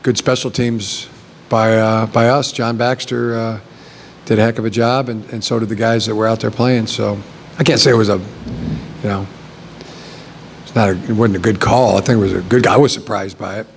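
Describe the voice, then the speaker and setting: A man's voice: fairly low pitch, steady tone, moderate pace, gravelly, a little hiss or hum. A middle-aged man, a head coach, answering press questions with many restarts and fillers at a table mic. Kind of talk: postgame press conference answers. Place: press conference room with backdrop.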